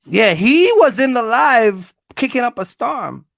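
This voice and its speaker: A man's voice: very high voice, sing-song, unhurried, gravelly, fairly bright tone, no room sound. Guy running a live money quiz, teases people, says stuff over and over, dry sound. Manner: teasing, repeats himself for emphasis. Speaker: host of a live quiz for his channel. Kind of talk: casual chat, game-show questioning.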